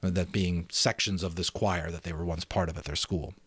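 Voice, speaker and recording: A man's voice: sits low, moderate pace, husky, no room sound. A middle-aged man reading a story aloud as an amateur narrator, in a dramatic, theatrical style. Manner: theatrical, expressive.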